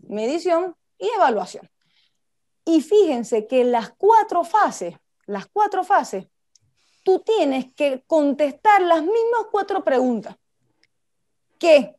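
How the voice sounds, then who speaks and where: A woman's voice: high-pitched, a little mellow. A woman with a Venezuelan accent giving an informal lecture, talking conversationally and putting questions to her listeners. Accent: Venezuelan Spanish. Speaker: project management instructor and speaker.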